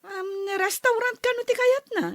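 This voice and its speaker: A woman's voice: very high-pitched, steady tone, moderate pace, dry recording, studio quality. An actress playing a neighbor woman who has spent all her money and is now asking for help, speaking in a worried, pleading way. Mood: plain. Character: neighbor woman fallen on hard times after spending. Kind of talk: audio drama acting.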